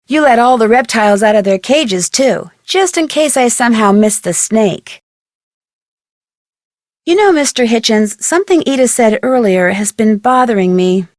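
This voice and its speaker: A young woman voicing an inquisitive, polite young detective in a video game, speaking scripted lines clearly and earnestly. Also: on the high side, no echo, studio quality. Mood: confident, accusing.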